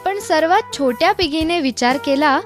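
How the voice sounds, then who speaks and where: A female storyteller reads a children's tale in a high voice. It is scripted narration, with soft music playing behind her. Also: lively intonation, normal speed. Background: background music.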